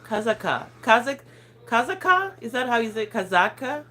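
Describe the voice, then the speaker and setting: A woman's voice: a little high, sing-song, moderate pace, pushed and bright. Woman, 30s, accented English, casual phone livestream host, chatty and giggly, trips over words. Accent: non-native English accent. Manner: chatty, rambling, laughs often, stumbles over words. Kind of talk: casual live chat reading viewer comments.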